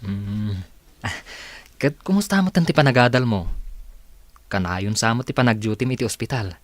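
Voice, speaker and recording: A man's voice: on the low side, animated, normal speed, no room sound, natural voice. A man playing an easygoing young man chatting with a companion in a radio drama. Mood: relaxed, friendly.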